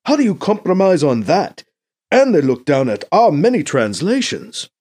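A man voicing an exasperated, cautious church leader in an audiobook, earnest and gently challenging. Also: on the high side, huge pitch swings, normal speed, pitched above the performer's own voice.

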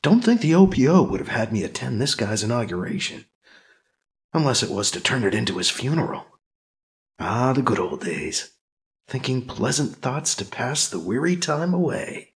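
A man voicing a wry, sarcastic male character in a story, halting and joking through his unease. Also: medium pitch, very animated. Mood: bitter musing. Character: wry, sarcastic man, uneasy but putting on good cheer.

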